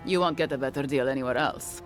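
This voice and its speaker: A man's voice: medium pitch, fast talker, faint music behind, lightly acted. A man playing a shifty, greedy video game trader, haggling slyly with an edge of menace. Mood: sly, appraising. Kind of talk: video game character acting.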